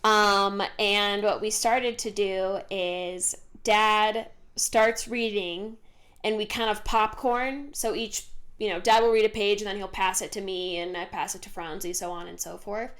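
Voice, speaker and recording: A young woman co-hosts a casual podcast, recalling family traditions. She talks in an easygoing, chatty way with plenty of "um"s and "like"s, at a moderate pitch. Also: a little slow. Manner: chatty, relaxed storytelling with many fillers. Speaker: podcast co-host. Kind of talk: casual chat.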